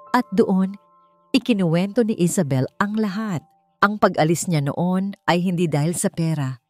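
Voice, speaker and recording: Low-pitched woman narrating a dramatic story over music. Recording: music behind the voice. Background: background music.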